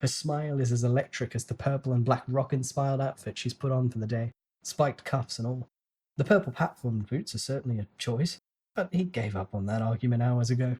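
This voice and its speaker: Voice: mid-range voice, fairly quick, darkish tone, slightly rough. A narrator giving a dramatic, scripted reading of a novel.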